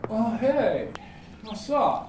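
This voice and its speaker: A man's voice: high voice, sing-song. A man playing a friendly, plain-spoken young carpenter in an audio drama. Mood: casual. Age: young adult. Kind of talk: naturalistic audio drama acting. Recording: echoey, distant, faint noise with birds.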